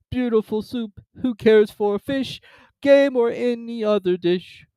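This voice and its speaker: High, mournful Mock Turtle. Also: male voice, warm tone, smooth, no echo. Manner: sighing, plaintive, persistent, drawn-out. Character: mournful, easily offended old Mock Turtle.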